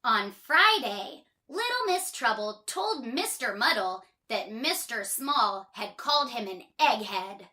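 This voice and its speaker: A woman reading a children's story aloud in an expressive way, drawing out words for emphasis. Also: fairly high pitch, slow, hardly any pauses.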